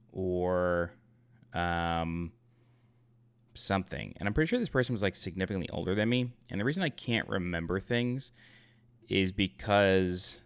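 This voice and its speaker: Deep-voiced man rambling through a story. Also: a bit bright, clean tone. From Long Island, New York. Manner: rambling, self-correcting, many fillers. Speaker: YouTube channel host. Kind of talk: casual storytime.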